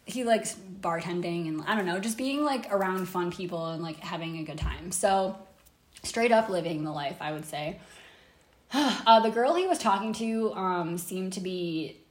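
A woman's voice: lowish voice, expressive, moderate pace, echoey room. A woman hosting a casual podcast, telling a story from memory in a rambling, laughing way. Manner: rambling, many fillers and restarts, laughs easily. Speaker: podcast host.

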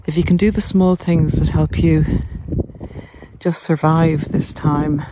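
A speaker talking gently and reassuringly in a reflective walk-and-talk vlog, with unhurried pauses. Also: medium pitch. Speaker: narcissistic abuse recovery coach. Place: outdoors on a country walk. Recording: outdoor noise, birdsong behind. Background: birdsong, outdoor noise.

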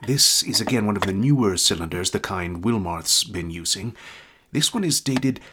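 Actor as a lively male archive presenter. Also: on the low side, moderate pace, background noise, natural voice. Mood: eager, careful. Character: eager, careful male presenter of old recorded cylinders. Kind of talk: informal spoken presentation to an audience.

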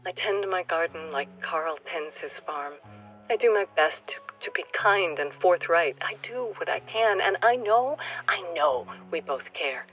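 An earnest newcomer in a radio play, confiding with wistful wonder and sometimes dropping to a hush. The sound is slightly muffled, with music underneath. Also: female voice, on the low side, animated, unhurried. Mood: tender, earnest. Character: earnest nature-loving newcomer woman speaking to a departed listener.

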